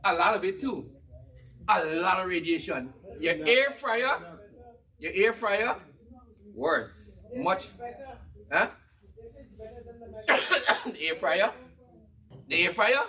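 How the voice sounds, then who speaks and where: Guyanese man pitching cookware to a crowd. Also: slow, long pauses, distant mic. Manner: energetic, asks the audience questions and repeats key phrases. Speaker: cookware presenter at a church gathering. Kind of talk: live demonstration talk to an audience.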